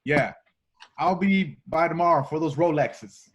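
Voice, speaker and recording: A man's voice: fairly high pitch, a little slow, slightly rough, highs cut off. A man playing a young streetwise cop, bewildered but insistent, in an amateur table read of a film script. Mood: dazed.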